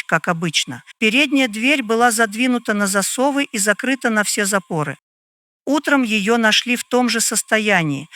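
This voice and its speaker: A man voicing a gaunt, stern middle-aged landlady in an audio drama, guarded and measured in her speech. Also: high-pitched, bright, forceful tone, dry recording, voice pushed up.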